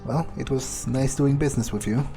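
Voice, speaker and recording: A man doing in-character game commentary, thinking aloud in a deliberate, dryly musing manner. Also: medium pitch, fairly even intonation, vocal fry, warm tone, soft background music. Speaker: let's player role-playing a vampire character.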